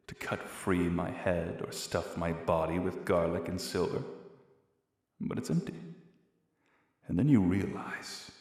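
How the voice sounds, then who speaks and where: A man voicing a proud, seductive vampire lord in a scripted audio roleplay, speaking in a theatrical, deliberate and intimate way. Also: low-pitched, breathy edge, exaggerated voice. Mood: slow, ominous. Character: seductive, menacing vampire lord, proud and secretly tender toward lost love.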